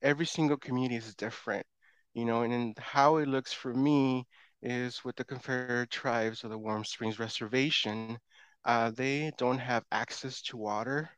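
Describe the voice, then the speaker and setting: A man's voice: mid-range voice, fairly even intonation, normal speed, a bit bright, clean tone, no room sound, low-quality recording. A man whose first language is Spanish, speaking casually and informally as a guest in a conversational interview. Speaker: podcast guest, language learner.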